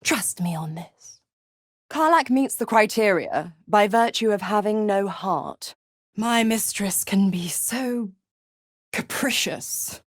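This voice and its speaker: A woman's voice: mid-range voice, animated, takes it slowly, no echo, clean studio sound. An actress playing a seductive, scheming female devil in a video game, mocking and purring with condescending endearments. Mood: smug, scheming. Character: seductive, scheming, gloating female devil who makes pacts. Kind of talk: theatrical game character dialogue.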